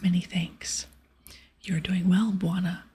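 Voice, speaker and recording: A woman speaking in a soft, breathy whisper close to the mic, giving gentle, casual ASMR gameplay commentary. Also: fairly low pitch, flat delivery, slow pace. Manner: gentle, hushed, casual rambling. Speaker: ASMR gaming video creator. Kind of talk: ASMR whispered gameplay commentary with quest dialogue read aloud.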